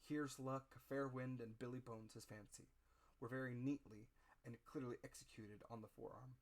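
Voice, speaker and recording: Male narrator, medium pitch. Dramatic audiobook read, theatrical, phrase-by-phrase delivery. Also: steady tone, moderate pace.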